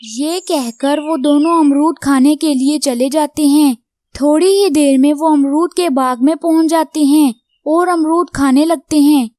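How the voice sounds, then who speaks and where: A woman's voice: high-pitched, measured pace, dark tone, no echo, clean studio sound. A woman reading scripted narration for a children's cartoon story.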